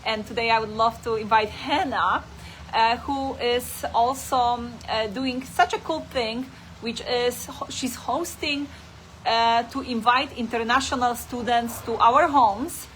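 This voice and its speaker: A woman's voice: on the high side, a little slow, fairly bright tone, slight background noise, rough recording. A woman from Poland with a Polish accent hosts a casual online interview, speaking hesitantly and repeating words as she searches for phrasing. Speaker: host.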